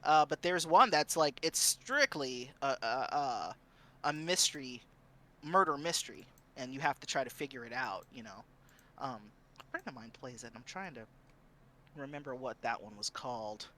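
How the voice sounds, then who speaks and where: A man chatting casually as a streamer on a panel, acting out reactions in an animated way. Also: medium pitch, moderate pace.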